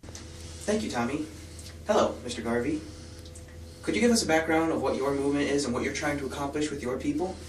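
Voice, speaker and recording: A man's voice: a little high, fairly quick, long pauses, natural voice. A man playing an awkward, tactless field correspondent in an amateur interview sketch. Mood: polite. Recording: echoey, distant mic, faint noise.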